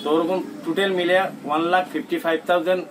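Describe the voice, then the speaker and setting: Halting man, distant mic, noisy surroundings. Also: high-pitched, little pitch movement. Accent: Bengali of Assam's Barak Valley. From Barak Valley, Assam. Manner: halting, repetitive, mixes in English words. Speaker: interviewee recounting an incident. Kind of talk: informal spoken account to a reporter.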